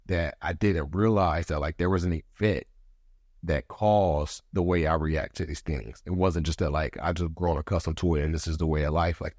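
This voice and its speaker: Podcast host, man in his thirties: deep, slightly congested from allergies, thoughtful and unhurried, dry sound. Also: darkish tone, no echo. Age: 30s. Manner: reflective, pauses to gather thoughts. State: congested from allergies, voice deeper than usual. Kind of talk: casual solo podcast monologue.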